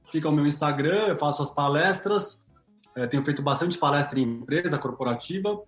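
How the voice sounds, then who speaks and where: A man's voice: on the high side, a little fast, roomy sound, faint music behind. A man with a Brazilian Portuguese accent, a podcast guest speaking openly and a little self-deprecatingly about his work. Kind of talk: casual podcast chat.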